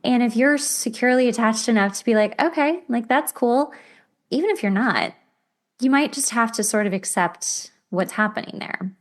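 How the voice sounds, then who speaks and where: Casting: female podcast host, slightly high voice, chatty explainer who talks through relatable examples conversationally. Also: moderate pace. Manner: conversational, acts out imagined dialogue as examples. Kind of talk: casual explanatory self-help talk.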